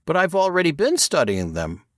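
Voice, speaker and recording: A man's voice: fairly high pitch, huge pitch swings, normal speed, no room sound, studio quality, voice pushed up. A man voicing a young agent in an audiobook, earnest and nervous, speaking hesitantly and unsure of himself. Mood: tentative, earnest. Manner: hesitant, tentative. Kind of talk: audiobook character dialogue.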